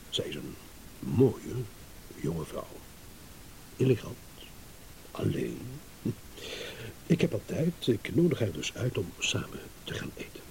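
Smug, theatrical gentleman, swooping intonation. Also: medium pitch, poor audio. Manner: theatrical, eloquent, mock-courteous. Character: smug, shrewd gentleman savouring a battle of wits. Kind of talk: radio drama acting. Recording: hissy old radio play recording.

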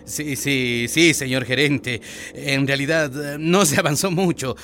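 A nervous, stammering town mayor. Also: male voice, medium pitch, a little slow, bright, forceful tone, a little hiss or hum. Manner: hesitant, stammering. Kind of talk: radio drama acting.

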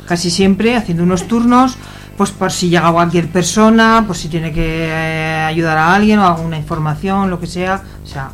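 A woman with an accent from Spain speaking as an activist guest in an informal radio interview, haltingly searching for words. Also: on the low side, a little hiss or hum. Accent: Spanish from Spain.

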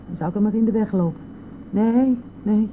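A woman's voice: mid-range voice, a little fast, lightly acted. An actress playing a modest, concerned middle-aged mother-in-law in a radio play, speaking in a hesitant, earnest, plain way. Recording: noisy, phone-like old radio recording.

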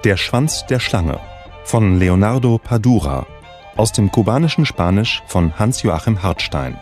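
Low-pitched male announcer with lively intonation. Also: a little slow. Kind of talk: scripted credits announcement. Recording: music under the announcement.

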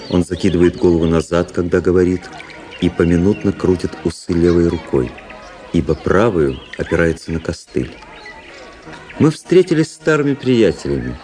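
Low-voiced, ironic young officer. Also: male voice, lively intonation, moderate pace, mellow. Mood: detached, observant. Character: cool, ironic young Russian officer narrating his memoirs. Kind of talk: radio drama narration and dialogue. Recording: radio play with prominent music behind. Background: music.

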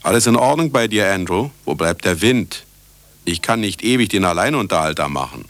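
A man's voice: sits low, animated, fairly quick, noisy background. An actor playing a cynical, vain older retired scientist who narrates his own story in a satirical radio play, sardonic and self-important. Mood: wry. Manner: sardonic, self-important, talking to listener and sound engineer. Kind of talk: satirical radio drama monologue.

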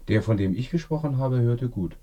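An actor playing a reserved, polite young stranger visiting a village in a radio play, with a husky voice. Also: male voice, mid-range voice, animated, normal speed, lightly acted. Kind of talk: German radio play acting. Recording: dull, muffled sound.

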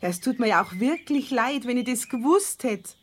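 A woman's voice: fairly high pitch, animated, normal speed. An actress playing a chatty, well-meaning neighbour woman with a Bavarian accent and an insistent, apologetic manner in a children's radio play.

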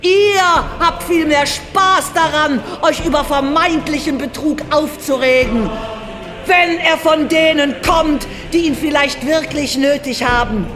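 An actress playing an outraged, idealistic young journalist making a theatrical, impassioned plea in a radio play. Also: female voice, sits high, unhurried, fairly bright tone. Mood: impassioned outcry. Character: outraged young journalist woman, passionate idealist pleading to a courtroom. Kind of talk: German radio play monologue. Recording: reverberant hall, music underneath. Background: music.

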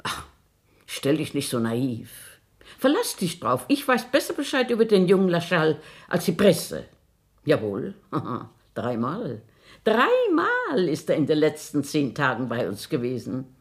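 A woman's voice: low voice, huge pitch swings, a little slow, heavily acted. Swooping, shrewd old grandmother. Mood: confidential, smug. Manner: emphatic, sardonic, theatrical. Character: shrewd, bossy, scolding old Parisian grandmother. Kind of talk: German radio drama acting.

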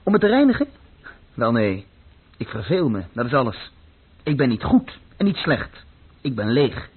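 An actor playing a disillusioned, bored young man in a radio play, blunt and questioning, with a husky voice. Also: mid-range voice, wildly swooping pitch, moderate pace. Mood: bleak. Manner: world-weary, questioning. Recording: muffled, phone-like old radio recording.

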